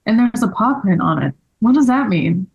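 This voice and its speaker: A woman's voice: mid-range voice, animated, normal speed, vocal fry, darkish tone, breathy edge, low-quality recording. A woman playing an anxious, cautious, easily discouraged man in a children's mystery table read. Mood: puzzled.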